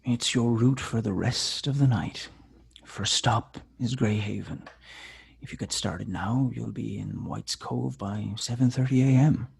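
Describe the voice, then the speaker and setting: A man playing a menacing killer who taunts by phone in a table read, slow and deliberate with a raspy, whispery voice. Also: medium pitch, darkish tone, full character voice. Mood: cold, commanding.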